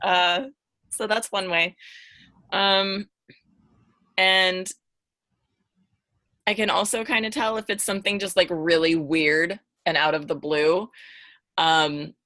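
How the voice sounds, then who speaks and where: Slow-talking woman with a bright, lower voice. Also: steady tone, no room sound. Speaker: podcast panelist. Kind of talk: casual podcast chat.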